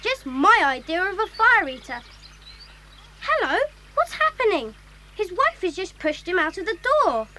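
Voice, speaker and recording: Bright, high-voiced eager schoolboy. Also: female voice, big pitch swings, a little slow, heavily acted. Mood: excited, amused. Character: eager, chatty English schoolboy adventurer. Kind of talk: children's audio drama acting.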